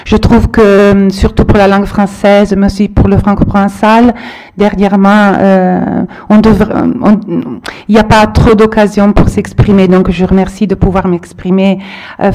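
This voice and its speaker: A middle-aged woman from the Aosta Valley, a primary school teacher, giving a reflective, halting testimony to a panel. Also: medium pitch, a little mellow. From Aosta Valley, Italy. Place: public hall with audience.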